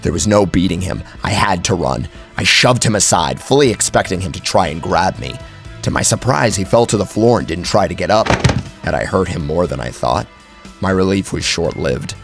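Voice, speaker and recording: Casting: male horror narrator, low gravelly register, theatrical and suspenseful, swinging widely in pitch over a soft music bed. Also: expressive, normal speed. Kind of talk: dramatic first-person horror story narration.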